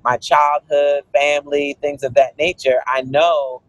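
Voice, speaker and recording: A man's voice: on the high side, level tone, measured pace, rough recording. A man from Guyana, a cookbook author, talking earnestly in an interview and often saying "you know". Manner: earnest, explanatory, frequent "you know" fillers. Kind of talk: interview conversation.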